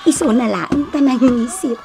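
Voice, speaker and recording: A giggly, teasing Filipino woman playing matchmaker in a radio drama. Her pitch is high and swoops around freely. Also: animated, slow, creaky, music in the background, heavily acted. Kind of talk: Ilocano radio drama acting.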